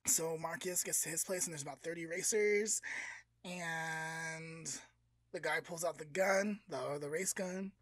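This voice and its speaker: A man co-hosting a podcast, telling a long story in a casual, animated way, full of "like" and acting out voices. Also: fairly high pitch, measured pace, no room sound. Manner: animated, filler-heavy, acts out voices. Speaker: podcast co-host.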